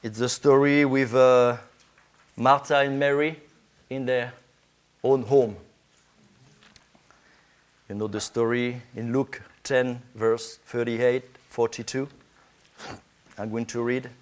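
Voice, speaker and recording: A man with a French accent, a native French speaker, preaching informally in halting English as a guest preacher. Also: medium pitch, fairly even intonation. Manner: halting, searching for words in English. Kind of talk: informal sermon to a congregation.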